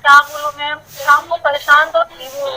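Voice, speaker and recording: A woman speaks on a recorded telephone call in a high, bright, somewhat piercing voice. She talks informally, hesitating and circling back over her phrases. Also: animated, normal speed. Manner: hesitant, repetitive, evasive. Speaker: colleague of a missing woman, on a phone call. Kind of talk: informal phone conversation.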